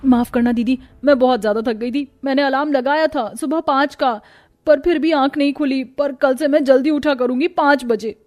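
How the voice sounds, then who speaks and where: A woman's voice: high-pitched, fairly even intonation, normal speed, darkish tone, lightly acted. A woman voicing a drowsy, naive young bride in a cartoon family story. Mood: apologetic.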